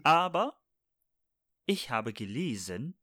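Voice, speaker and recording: Male co-host, mid-pitched, playful rambler, dry close recording. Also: big pitch swings, takes it slowly, pushed and bright, no room sound. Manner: playful, jokey, rambling with many restarts. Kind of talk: casual chat.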